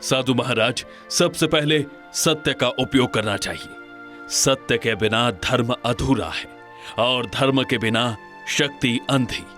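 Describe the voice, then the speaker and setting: A man's voice: medium pitch, slow, fairly bright tone, faint music behind. A man playing an earnest, noble king in a cartoon moral story, speaking formally and respectfully.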